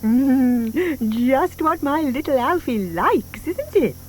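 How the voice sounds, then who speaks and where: A woman's voice: sits high, sing-song, slow, a little mellow, a little hiss or hum, full character voice. An actress playing a doting, sentimental older woman who coos over her pet in an audio drama, with gushing, emphatic delivery. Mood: adoring, cooing.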